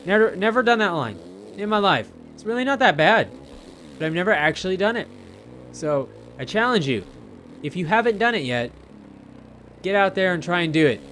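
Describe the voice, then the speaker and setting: A man with a somewhat high voice talks viewers through gameplay in a loose, chatty way. His commentary rambles informally, as if talking straight to friends watching. A faint layer of noise sits behind him. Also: very animated, normal speed, long pauses, fairly bright tone. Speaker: gamer narrating gameplay.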